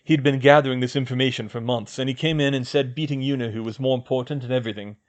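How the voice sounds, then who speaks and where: A man's voice: mid-range voice, steady tone, fast talker, close to an everyday voice. A man voicing a modest teenage boy who speaks plainly and hesitantly. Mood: earnest.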